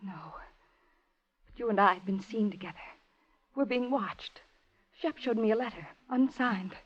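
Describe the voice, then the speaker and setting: A woman's voice: a little high, moderate pace, close to an everyday voice. An actress playing an anxious, desperate married woman in a 1950s radio drama, tense and pleading. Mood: worried. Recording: muffled old-time radio recording.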